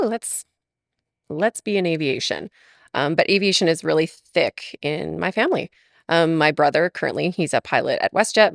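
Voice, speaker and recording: Chatty woman from Alberta, gravelly low voice. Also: no echo, clean studio sound. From Alberta, Canada. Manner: chatty, laughs often, many fillers. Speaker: podcast guest. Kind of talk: casual interview chat.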